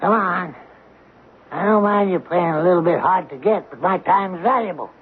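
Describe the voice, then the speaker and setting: Pushy male dealer, acted by a man, high-pitched, sarcastic hard bargainer, narrow vintage radio sound. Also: normal speed, natural voice. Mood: impatient, pushy. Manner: needling, pushy, dryly sarcastic. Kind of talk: 1970s radio drama acting. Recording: band-limited, slightly noisy old radio broadcast.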